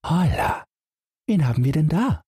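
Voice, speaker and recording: A man acts out a bold young fairy-tale hero, cocky and teasing. The voice is lifted well above the reader's own, with a husky edge and a jaunty delivery suited to a storybook. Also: a little high, sing-song, measured pace, mellow, no echo, clean studio sound, pitched above the performer's own voice, heavily acted. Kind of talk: fairy-tale audiobook character voice.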